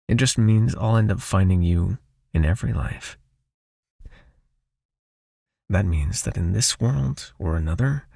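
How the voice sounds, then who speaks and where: A man playing a seductive, possessive vampire hypnotist in an intimate ASMR roleplay, soothing and teasing as he gently commands. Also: on the low side, vocal fry, slightly rough, no echo, clean studio sound, exaggerated voice. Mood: devoted, possessive.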